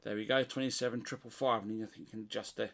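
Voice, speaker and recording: A man's voice: lowish voice, fairly even intonation, very fast. British man demonstrating gear, noisy recording. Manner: unscripted, thinking aloud while testing. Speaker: CB radio hobbyist presenter. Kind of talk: casual hands-on demonstration.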